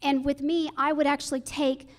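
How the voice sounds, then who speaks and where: Female political candidate, high voice, earnest and argumentative, giving structured forum answers. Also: flat delivery, moderate pace.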